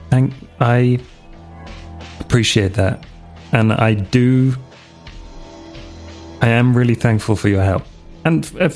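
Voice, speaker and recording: Man acting a cocky devoted guy, lowish gravelly voice, clipped casual phrasing, sincere, tabletop roleplay, music behind. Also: a little mellow. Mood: reassuring.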